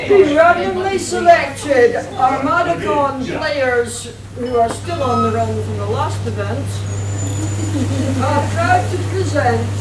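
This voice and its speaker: A woman narrating a live radio play as compere, in a theatrical, declamatory manner. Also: high-pitched. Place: convention hall before an audience. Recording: echoey, noisy live hall, distant mic.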